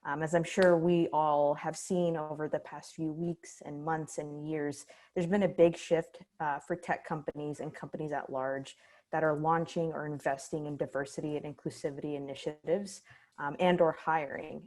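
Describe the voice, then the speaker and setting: A woman's voice: deep voice, level tone, normal speed, darkish tone. A woman moderating a panel, introducing the speakers upbeat and friendly over a video call. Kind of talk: hosting a panel.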